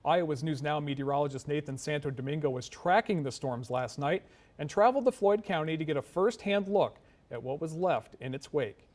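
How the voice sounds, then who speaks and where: A man's voice: a little high, lively intonation, fairly quick, darkish tone. An American man anchoring a local TV newscast in a scripted broadcast style. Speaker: news anchor. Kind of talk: scripted broadcast news delivery.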